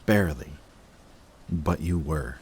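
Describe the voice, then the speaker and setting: A man playing an emotional villain confessing to his longtime enemy in a dramatic audio roleplay, intense, halting and defensive. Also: extremely low pitch, a little mellow, a little hiss or hum. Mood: pained, confessing.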